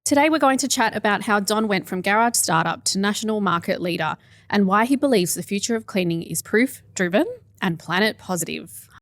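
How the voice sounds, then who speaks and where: A woman's voice: medium pitch, expressive, a little fast, no room sound. Encouraging woman hosting a podcast. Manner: warm, encouraging, frequent laughs and backchannels. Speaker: podcast host. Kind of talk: podcast interview.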